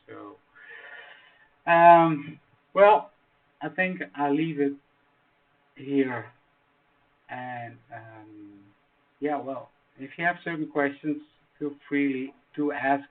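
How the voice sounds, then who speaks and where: A man from the Netherlands with a Dutch accent, explaining a trading strategy in English, which is not his first language. He talks haltingly, with many fillers and restarts. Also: mid-range voice. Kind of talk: screen-share tutorial. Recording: tinny phone-like audio.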